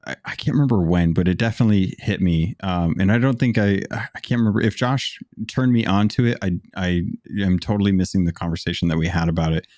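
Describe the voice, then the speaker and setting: A man with a deep voice, a guest on a gaming podcast, talking in a relaxed, rambling way with frequent restarts. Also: fast talker, few pauses, no room sound, clean studio sound. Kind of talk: casual conversation.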